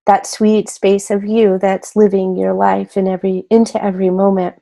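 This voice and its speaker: A woman leading a guided meditation in a gentle, soothing way, unhurried and pausing between short phrases. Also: medium pitch, flat delivery, gravelly.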